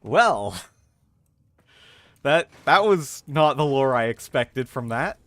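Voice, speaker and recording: A man's voice: mid-range voice, expressive, slow, fairly bright tone, dry recording. A man streaming a video game, switching between casual chat and dramatic readings of game lore.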